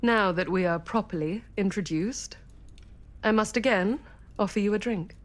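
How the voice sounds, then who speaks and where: An actress playing a formal, measured, politely menacing hostess and collector in a cinematic video game. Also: female voice, medium pitch, close to an everyday voice. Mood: gracious. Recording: music under the voice.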